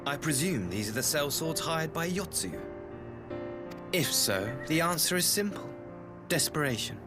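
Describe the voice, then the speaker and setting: Polished, expressive young imperial envoy. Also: male voice, fairly high pitch, measured pace, music in the background. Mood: courteous, composed. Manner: courteous, eloquent, formal. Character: polished, idealistic young imperial envoy, earnest and smooth-talking. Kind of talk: dramatic video game voice acting.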